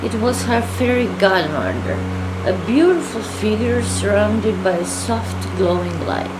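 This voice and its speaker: A woman reading a fairy tale aloud as a storyteller, in a halting delivery with small stumbles. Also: mid-range voice, very animated, very noisy.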